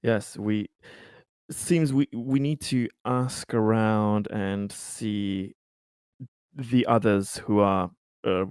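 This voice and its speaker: A man with a lowish voice takes part in a tabletop roleplaying game. He speaks slowly and haltingly, choosing formal phrases with care, on a dry, close recording. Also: steady tone, darkish tone, clear, resonant tone, no echo. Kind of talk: improvised in-character discussion.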